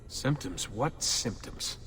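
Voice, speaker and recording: A man's voice: mid-range voice, animated, slow, fairly bright tone, slight background noise. An actor playing a wry, questioning young man test subject in a video game. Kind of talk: naturalistic video game acting.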